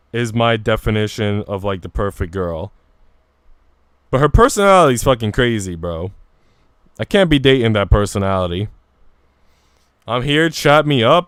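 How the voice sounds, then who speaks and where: A man's voice: mid-range voice, smooth, dry recording, studio quality. A man livestreaming casually, talking to his viewers in informal, slangy language. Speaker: game streamer. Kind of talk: casual livestream chat, reading visual novel dialogue aloud.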